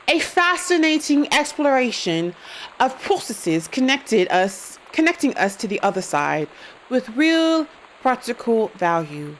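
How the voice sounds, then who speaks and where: A woman streaming a game live, expressive and reacting out loud, with some swearing. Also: fairly high pitch, a little hiss or hum, poor audio. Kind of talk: live gameplay commentary.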